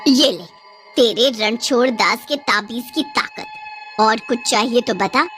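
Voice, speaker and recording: A woman's voice: on the high side, fairly bright tone, soft background music, natural voice. A woman voicing a menacing, gloating witch who taunts her prey in a cartoon horror story. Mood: angry. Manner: cackling, taunting.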